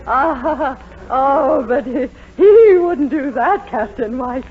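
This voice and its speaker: Snooty scheming matron, high voice all over the place, theatrical, muffled 40s radio comedy. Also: female voice, lively intonation, slow pace, a faint crowd. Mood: flustered, gushing. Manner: theatrical, gushing, indignant. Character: haughty, scheming matron turned gushing and flustered. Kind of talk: 1940s radio comedy acting. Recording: muffled, noisy 1940s radio recording.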